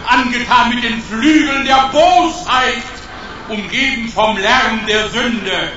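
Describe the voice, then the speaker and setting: An actor playing a bombastic false guru who proclaims himself god in a radio drama, chanting solemnly through echoing loudspeakers. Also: male voice, heavily acted. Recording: booming echo from far off, dull, noisy.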